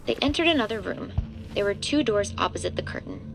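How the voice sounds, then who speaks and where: A woman narrates a horror audio drama from a script. Her pitch sits in the middle of the female range. Music plays clearly behind her narration. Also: expressive, a little fast, poor audio.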